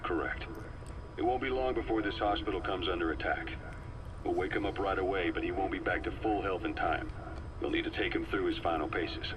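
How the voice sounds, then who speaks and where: Man acting low-voiced calculating spy, noisy tape. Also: close to an everyday voice. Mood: matter-of-fact. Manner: measured, deliberate, cryptic. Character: shrewd middle-aged male spy and hypnotist, loyal, calculating. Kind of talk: scripted video game voice acting on a cassette tape.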